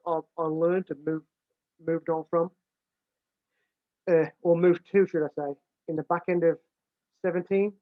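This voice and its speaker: A man's voice: fairly high pitch, no echo. A British man with a big voice, a football coach explaining how he develops his staff in plain, casual talk, often restarting and repeating words. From United Kingdom. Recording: dry, tinny phone-like line.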